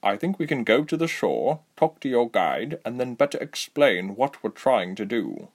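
Guy reading as a calm elf soldier dude, encouraging, measured, mid pitch, fantasy audiobook.

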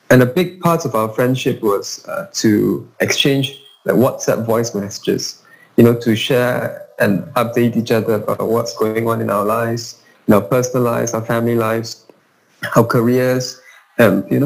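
A young man with a gravelly edge to his voice. He speaks as a podcast guest in a measured, earnest way, often restarting his sentences, over a remote call. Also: mid-range voice, a little mellow, rough recording. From Malaysia. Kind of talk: casual conversation.

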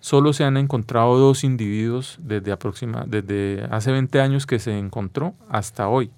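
A man's voice: mid-range voice, darkish tone, dry recording. A man with a Colombian accent explaining things didactically as an interview guest, often checking with short tag questions.

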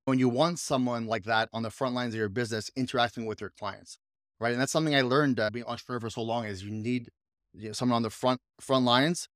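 A man hosting a relaxed podcast, speaking eagerly and circling back over his points. His voice sits in an ordinary middle register. The recording is dry and close. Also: medium pitch, fast, no echo. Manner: enthusiastic, rambling with restarts. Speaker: podcast host, coach and business owner. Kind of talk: casual podcast chat.

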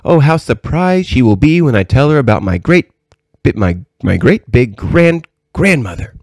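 An inquisitive little princess from a storybook, acted by a man raising his pitch. She sounds innocent and eager, forever asking questions, in a dry, close recording. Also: very animated, normal speed, a little mellow, dry recording. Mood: delighted. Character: curious, eager little princess, a young girl.

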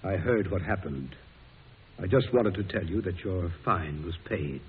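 An actor playing a kindly, plain-spoken President and general in an old-time radio drama, speaking in a hesitant, folksy way. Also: male voice, sits low, lively intonation, a little slow. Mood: apologetic, gentle. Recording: tinny, hissy old radio recording.

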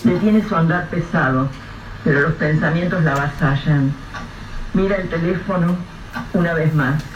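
Guy narrating a radio play, slow grave delivery, echoey and kinda distant, dull faint-hiss sound. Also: a little high. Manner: measured, grave storytelling. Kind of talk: scripted radio drama narration.

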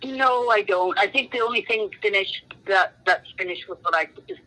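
Lady guest, bright voice, lots of um and you know, kinda rambly. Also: mid-range voice, normal speed, rough recording. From Canada. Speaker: author guest. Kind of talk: casual interview chat.

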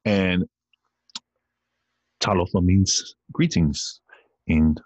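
Very deep-voiced rambling podcast host. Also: male voice, steady tone, extremely slow, darkish tone, husky, no room sound. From Samoan heritage. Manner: rambling, playful, talks to listeners as y'all. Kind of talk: casual solo podcast rant. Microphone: computer recording via video call app.

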